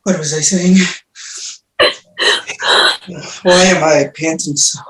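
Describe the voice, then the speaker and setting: An actor playing a sick, tormented young prince haunted by madness, weary and feverish by turns. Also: male voice, fairly high pitch, fairly bright tone. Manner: weary and feverish, swinging into frantic raving. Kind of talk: stage play reading. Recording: roomy echo.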